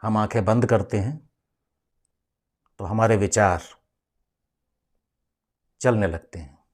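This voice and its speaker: Slow, low-voiced man guiding meditation. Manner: measured, instructive, with deliberate pauses. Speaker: professor leading a guided meditation. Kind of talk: guided meditation and spiritual teaching.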